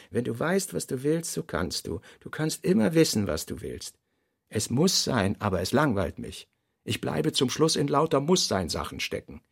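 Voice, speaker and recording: Husky, brooding middle-aged novelist. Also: male voice, on the high side, dry recording, studio quality, full character voice. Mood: brooding, clipped. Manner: deliberate, terse, aphoristic. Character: brooding middle-aged male novelist reading out his working notes. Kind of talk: literary radio play monologue.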